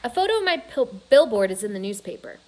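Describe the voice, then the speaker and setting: A woman's voice: a little high, very animated, quick pace, darkish tone, slight background noise. A woman reading a children's novel aloud as a storyteller.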